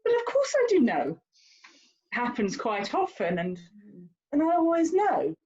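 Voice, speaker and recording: A woman's voice: sits high, wildly swooping pitch, unhurried, darkish tone, lo-fi sound, heavily acted. A woman playing a haughty, worldly young lady in a period drama, with an emphatic, condescending, theatrical delivery. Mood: exasperated. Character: haughty, worldly young English lady, teasing older sister. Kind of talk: stage-style period drama dialogue.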